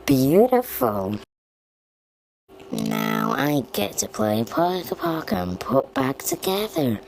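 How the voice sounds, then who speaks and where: A woman voicing menacing animatronic monsters from a horror game, taunting prey slowly and theatrically in a creaky voice. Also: low voice, slight background noise, exaggerated voice, low-quality recording. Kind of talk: fan recreation of horror game voice lines.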